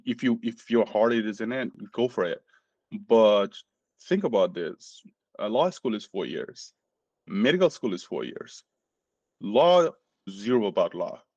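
A man's voice: on the low side, animated, measured pace, a little mellow, dry recording, lo-fi sound. A middle-aged man from Nepal with a Nepali accent, telling his personal story as an interview guest. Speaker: podcast guest, medical student.

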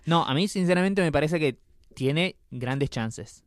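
A man with a Rioplatense Spanish accent, co-hosting a casual chat about TV series in a relaxed, talky manner. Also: a little high, unhurried, no room sound, clean studio sound. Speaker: podcast co-host.

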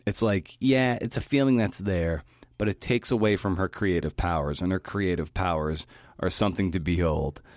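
A man's voice: sits low, normal speed, dry recording, studio quality. A man hosting a podcast, delivering a scripted talk that links interview clips. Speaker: podcast host giving a talk.

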